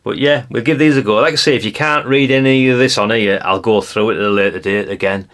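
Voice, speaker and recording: A man's voice: lowish voice, quick pace, fairly bright tone. A British man giving casual, rambling hobbyist commentary to his viewers, chatty and colloquial, often tagging questions onto his remarks. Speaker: hobbyist video host. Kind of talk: casual rambling commentary while leafing through a brochure.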